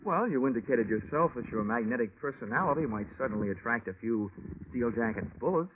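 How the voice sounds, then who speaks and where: A man's voice: mid-range voice, animated, fairly quick, noisy background, lightly acted. An American actor playing a wry, slangy, hard-boiled male insurance investigator in an old-time radio detective drama. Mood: cocky. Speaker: lead actor and narrator. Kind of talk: 1940s-50s radio detective acting, hard-boiled narration. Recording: old radio recording, narrow tinny band, music.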